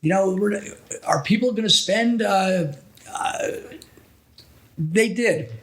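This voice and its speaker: A middle-aged man with an American accent speaks in a notably high register. He reminisces casually as a podcast guest, picking his way through his stories in a halting, conversational manner. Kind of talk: casual reminiscing interview talk.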